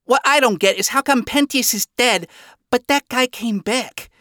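A woman's voice: fairly high pitch, normal speed, a bit bright, no echo, studio quality, voice pushed up, natural voice. A woman voicing a blunt, brash male demon character in a narrated story. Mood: confused.

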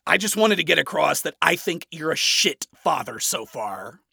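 Guy doing a brash sweary dude in an audio story, biting n sarcastic, bright voice pushed up high. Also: big pitch swings, normal speed, vocal fry, husky, dry recording, studio quality, heavily acted. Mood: blunt, flat. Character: brash, scornful, foul-mouthed man ranting at his enemy.

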